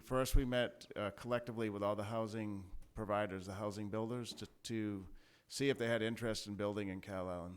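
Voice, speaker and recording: A man from city staff briefing a city council, measured and full of small pauses and restarts. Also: fairly low pitch, smooth. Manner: measured, many fillers and restarts. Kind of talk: formal briefing. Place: council chamber.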